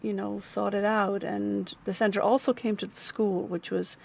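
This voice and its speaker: A middle-aged woman with an Irish accent, answering interview questions about a grief center in a thoughtful, measured way, often pausing with um. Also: medium pitch, little pitch movement, gravelly, a little mellow, husky. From Ireland. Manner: thoughtful, measured, with frequent um and restarts. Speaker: community manager at a grief center.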